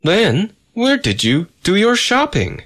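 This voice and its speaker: Brief: inquisitive street bystander, read by a man, pitch nudged up. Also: huge pitch swings, takes it slowly, fairly bright tone, full character voice. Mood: curious. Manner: inquisitive, questioning. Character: curious Chinatown bystander questioning a stranger.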